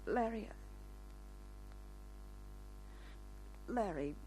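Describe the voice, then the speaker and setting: A woman's voice: medium pitch, animated, moderate pace, dark tone, faint music behind, natural voice. A woman acting a coy, alluring, flirtatious character in a 1950s radio crime drama, with a hesitant manner. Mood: hesitant, tender. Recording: noisy old radio recording with hum.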